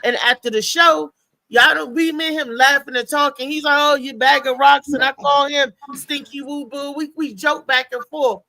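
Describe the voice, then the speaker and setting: Woman, high pitch, forceful bright tone, dry sound, casual chat, insistent, repetitive, show host. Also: steady tone, moderate pace, creaky, no echo. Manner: insistent, repeats words, quotes others.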